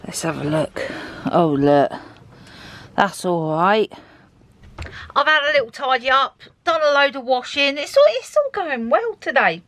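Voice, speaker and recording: A cheerful woman who narrates her days aboard a narrowboat, talking to the viewer like a friend. Her voice sits a little high and swings freely up and down as she goes. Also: big pitch swings, a little slow, a bit bright. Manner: upbeat, chatty, talks to camera. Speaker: vlog host. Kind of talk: chatty video diary. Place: narrowboat and outdoors.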